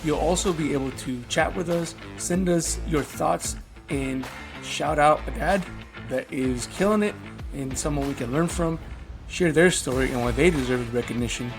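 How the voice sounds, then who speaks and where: A man's voice: a little high, moderate pace, over music. A man hosting a podcast in a casual, informal and appreciative way. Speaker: podcast host, father. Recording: roomy, echoing sound.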